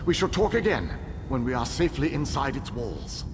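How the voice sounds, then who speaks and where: An actor playing an ancient elven king who speaks in a formal, deliberate and strategic way. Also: mid-range voice, very animated, background noise, lightly acted. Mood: measured. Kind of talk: video game dialogue.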